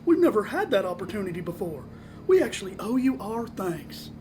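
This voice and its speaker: A woman's voice: mid-range voice, sing-song, fairly quick, darkish tone, a little hiss or hum, natural voice. A woman playing a gleefully evil snowman in an audio drama, gloating with theatrical mock sweetness.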